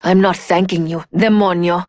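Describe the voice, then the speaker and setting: A woman's voice: on the low side, steady tone, normal speed, a little mellow, no echo, lightly acted. A woman voicing a sultry, vengeful thief in a video game, cool, cutting and sardonic. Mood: bitter contempt. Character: sultry, scornful, vengeful female thief, adult. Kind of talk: video game character voice lines.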